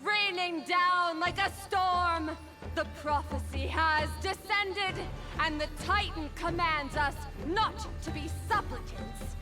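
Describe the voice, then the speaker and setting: A very high, girlish herald from a fantasy game declaims with ceremony. The delivery is slow and grand, with music playing clearly behind. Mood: solemn, exalted. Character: high-voiced young female herald solemnly hailing a titan.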